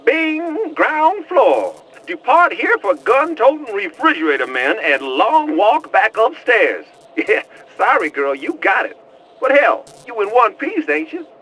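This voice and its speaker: An actor with an American accent voicing a wisecracking, streetwise, excitable tech sidekick in a video game, with slangy, cocky banter. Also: male voice, extremely high pitch, a little slow, a little hiss or hum, heavily acted, poor audio. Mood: jokey, bantering. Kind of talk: video game cutscene voice acting.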